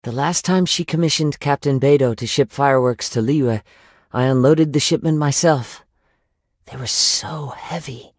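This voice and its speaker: A man acts out a young wandering samurai from a video game, gentle and wistful. His voice sits at a middle pitch, with soft, measured, reflective delivery and formal wording. The sound is close and dry, with no room on it. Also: dry recording, lightly acted. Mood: solemn, thoughtful. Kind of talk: video game character voice lines.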